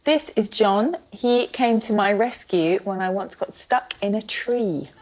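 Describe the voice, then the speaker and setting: A woman's voice: mid-range voice, a little slow, a little mellow, rough recording. A woman with a mid-range voice tells a personal story as a panel show guest. She speaks as a guest in a studio comedy setting. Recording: studio with live audience.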